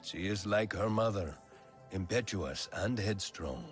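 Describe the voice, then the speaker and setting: An actor playing a stern, grieving alien clan leader, a widowed father, solemn and measured in a video game. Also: on the low side, expressive, faint music behind. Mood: solemn regret. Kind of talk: dramatic video game acting.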